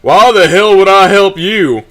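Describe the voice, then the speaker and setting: A man's voice: medium pitch, fairly even intonation, unhurried, pushed and bright, clean tone. A man voicing a cruel middle-aged father in an audio story, scolding his son with venomous, dismissive contempt. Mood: contemptuous.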